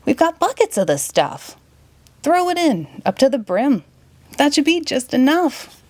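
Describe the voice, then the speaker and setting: A woman with a Boston accent voicing excited, eager children in monster costumes in a storybook. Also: unhurried. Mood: eager, urging. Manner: high, eager, exclaiming. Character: excited trick-or-treating children dressed as monsters.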